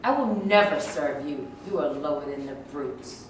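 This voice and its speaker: A woman voices a grieving cavewoman widow, furious and defiant. Her voice sits on the low side and carries bitterness. The room echoes heavily and the mic is distant. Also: animated, moderate pace, slight background noise.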